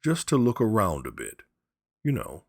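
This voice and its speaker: Man playing a husky, blustery old father. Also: lowish voice, huge pitch swings, normal speed, dry recording, clean studio sound, pitched above the performer's own voice, heavily acted. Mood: curious. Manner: blustery, emphatic. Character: grey-bearded old father, blustery, curious, hospitable host.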